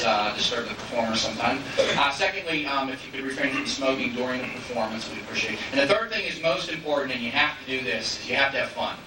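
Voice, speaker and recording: A man giving an informal welcome address as host of a stage show, recorded from afar in an echoey hall. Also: mid-range voice. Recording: echoey hall, distant mic, hissy videotape.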